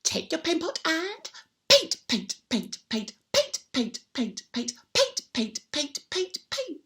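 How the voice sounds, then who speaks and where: A woman telling a children's story in a theatrical, interactive way, with playful repeated sound words and drawn-out emphasis. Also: very high-pitched, wildly swooping pitch, sharp tone. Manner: invites listeners to join in.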